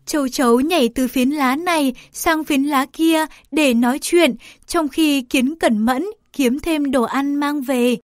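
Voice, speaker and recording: A woman narrating a scripted children's story in a careful, clearly phrased read-aloud manner. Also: high voice, a little slow, few pauses, dry recording.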